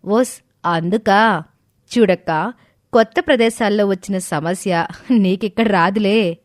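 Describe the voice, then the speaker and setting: A woman voicing a sharp-witted young sister in a cartoon family drama, assured and teasing. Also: mid-range voice, a little slow, no room sound, clean studio sound, close to an everyday voice.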